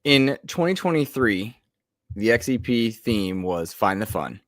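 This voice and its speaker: A man co-hosting a gaming podcast in a casual, animated chat with emphatic asides. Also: fairly low pitch, unhurried, dry recording, studio quality. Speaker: podcast co-host.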